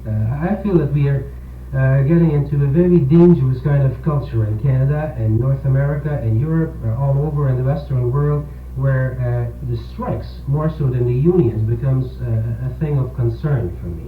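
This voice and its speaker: A male priest with a mid-pitched voice argues his views earnestly on local radio, halting and repeating words. The old 1970s recording is narrow and sounds far from the mic, with a faint hum. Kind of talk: opinionated local radio discussion. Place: radio program, distant-sounding and echoey. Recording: tinny, echoey 1970s radio aircheck, humming noise.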